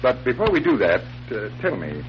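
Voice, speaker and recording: Theatrical magician detective, animated, old radio. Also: male voice, medium pitch. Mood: probing, firm. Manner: measured, theatrical, authoritative. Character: confident, theatrical male stage magician detective. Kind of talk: 1940s radio drama acting. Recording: muffled, humming, hissy 1940s radio recording.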